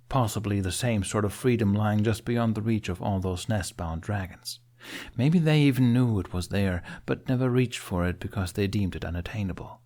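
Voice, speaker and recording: A man narrates a fantasy audiobook in a fairly low voice. His delivery is scripted storytelling, read as prose. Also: a little mellow.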